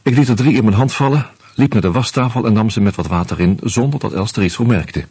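An actor playing an anxious out-of-work husband who narrates in the first person, wry and hesitant, in a radio play. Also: male voice, on the low side, fast, darkish tone, slightly rough, dry recording.

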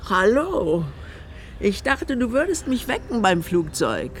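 A woman's voice: medium pitch, very animated, a little hiss or hum. An older woman playing a frail, eccentric elderly mother in a radio play, nostalgic and imperious. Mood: confused, irritable. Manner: slurred, drowsy, halting recollection.

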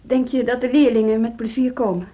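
High, husky-voiced outspoken woman played by an actress. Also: fairly even intonation, fairly quick, close to an everyday voice. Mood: probing, concerned. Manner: direct, confrontational. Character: critical, outspoken woman, deputy head of music school. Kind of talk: naturalistic radio drama acting. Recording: dull, muffled radio play recording.

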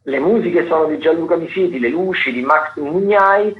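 A man's voice: a little high, moderate pace. Man reading theatre listings on radio. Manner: hesitant, many fillers and restarts. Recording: dull lo-fi radio broadcast.

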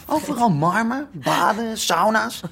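An actor playing an excitable young squatter, son of a gangster, in a radio play. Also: male voice, high-pitched, measured pace, vocal fry, slightly rough, full character voice.

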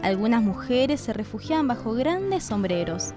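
High-voiced Argentine woman narrator. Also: very animated, moderate pace, over music. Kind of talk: scripted radio drama narration.

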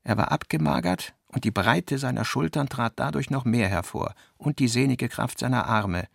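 A man gives a literary reading of draft notes for a radio play, with deliberate, enunciated delivery. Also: mid-range voice, gravelly, breathy edge, dry recording, clean studio sound.

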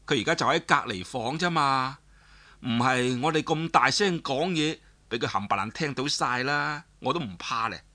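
A man with a Cantonese accent voicing a scheming older noblewoman in a story, halting and guarded as she frets over her lover. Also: medium pitch, sharp tone, close to an everyday voice. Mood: brazen, dismissive. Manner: hesitant, halting, repeats words.

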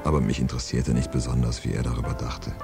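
An actor playing a laconic, world-weary hard-boiled man in a radio crime play, understated and deadpan. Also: very deep voice, fast, vocal fry, music in the background, exaggerated voice. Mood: deadpan, reflective. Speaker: narrator and lead character in a radio crime play. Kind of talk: scripted hard-boiled first-person narration and dialogue.

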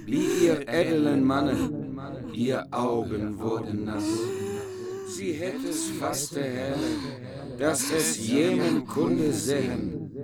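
Ancient epic bard, declaimed verse, very drawn-out, swooping pitch, incantatory, radio drama. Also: male voice, full character voice. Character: archaic bard intoning an old heroic epic. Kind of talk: declaimed Middle High German verse in radio play.

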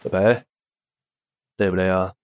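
A man's voice: low voice, steady tone, unhurried. A man playing a suave, scheming boss, smug and teasing with a threat underneath, in a Chinese audio drama. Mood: amused, teasing. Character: suave, scheming male boss, indulgent and menacing.

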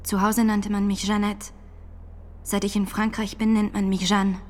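Actress as a defiant, devout young peasant woman, even-toned. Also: mid-range voice, natural voice. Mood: steadfast, earnest. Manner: steadfast, measured, plain-spoken. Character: defiant, devout nineteen-year-old peasant woman on trial. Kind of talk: radio drama acting.

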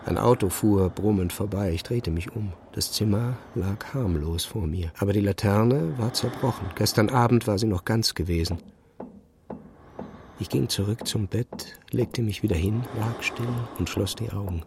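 An actor playing a brooding physicist who recounts an uncanny night in measured, restrained first-person narration for an audio drama. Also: male voice, lowish voice, vocal fry, background noise. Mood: sober, subdued.

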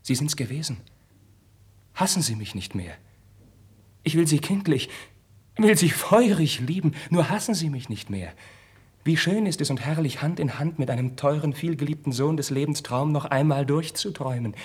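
Fervent young prince, slightly husky. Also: male voice, fairly high pitch, animated, moderate pace, darkish tone. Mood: tender, longing. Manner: declamatory, fervent, theatrical stage diction. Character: impassioned young Spanish crown prince, proud, idealistic, wounded son. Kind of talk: 1950s German radio drama acting.

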